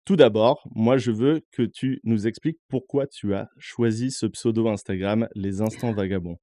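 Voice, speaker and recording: Male podcast host from northern France; mellow, casual, uses lots of fillers. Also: on the low side, moderate pace, dry recording, clean studio sound. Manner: relaxed, filler-heavy interviewer. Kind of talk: casual interview.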